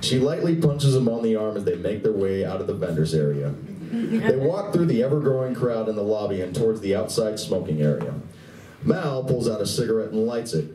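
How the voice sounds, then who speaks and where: A man's voice: fairly low pitch, warm tone, natural voice. A man narrating a scripted live radio play as a wry storyteller. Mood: descriptive. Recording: roomy live venue, distant mic, faint noise.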